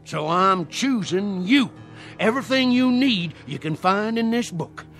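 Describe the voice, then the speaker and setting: A man's voice: high-pitched, lively intonation, a little slow, fairly bright tone, soft background music. An actor playing a fervent old Southern conjure man in a radio play, with a folksy, theatrical rustic way of talking. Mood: grave, foreboding. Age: older. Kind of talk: dramatic radio play acting.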